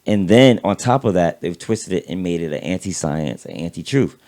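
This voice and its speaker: A man co-hosting a casual political chat show, talking with a raspy voice and vocal fry, rambling and repeating words as he swears freely. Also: sits low, lively intonation, normal speed, darkish tone. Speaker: podcast co-host.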